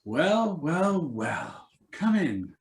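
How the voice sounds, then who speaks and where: A man's voice: a little high, sing-song, extremely slow, exaggerated voice. A man playing a smug, easily panicked blackmailer in a live radio thriller reading, recorded in a roomy space. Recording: roomy home video call.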